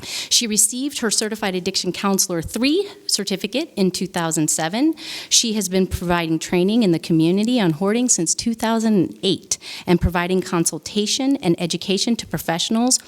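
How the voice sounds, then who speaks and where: A woman's voice: mid-range voice, normal speed. A woman hosting a live training event, welcoming the audience and reading a speaker's biography aloud in an orderly way. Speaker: event host introducing speaker. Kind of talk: formal introduction at a training event. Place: conference room with live audience.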